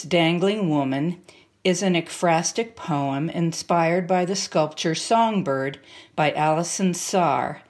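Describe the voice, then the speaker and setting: Older woman, lowish pitch, prepared spoken introduction. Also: a little mellow. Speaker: poet, writer.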